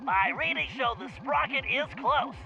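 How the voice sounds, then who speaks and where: A man's voice: very high voice, measured pace, creaky, bright, forceful tone, dull audio, heavily acted. A man voicing a nerdy, cautious young male brains of a thief gang in a video game, speaking in a precise, fussy, explanatory way. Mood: reassuring, instructive. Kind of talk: animated video game voice acting.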